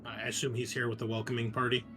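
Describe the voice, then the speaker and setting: A man's voice: medium pitch, monotone, quick pace. A man chatting casually as a tabletop game player, describing his character and what he does.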